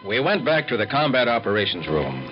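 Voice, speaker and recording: A man's voice: mid-range voice, big pitch swings, a little fast, muffled recording, over music, lightly acted. A man playing a curious, plain-spoken interviewer in a scripted 1950s radio program. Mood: curious, attentive.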